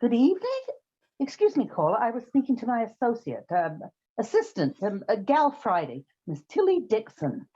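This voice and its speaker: An actress playing a brisk, wry woman who runs an odd job agency in an old-time radio comedy. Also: fairly high pitch, wildly swooping pitch, warm tone, slightly rough, exaggerated voice. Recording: slightly dull, muffled sound.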